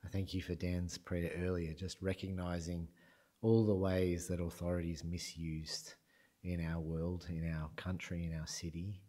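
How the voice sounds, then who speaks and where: A low-voiced man gives a sermon in a church. He is croaky from a cold and talks in a relaxed, self-deprecating way, with plenty of ums. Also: normal speed. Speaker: preacher. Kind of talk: sermon with prayer.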